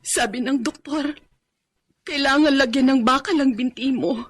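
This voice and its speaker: An actress playing a gentle, devoted blind wife and mother in a Filipino radio drama. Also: high voice, normal speed. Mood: worried.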